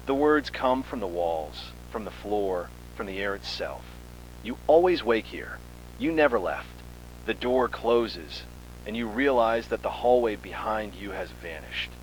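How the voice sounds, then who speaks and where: A man narrating a scripted second-person horror story in a measured, ominous, dramatic way. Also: lowish voice, a little hiss or hum, rough recording.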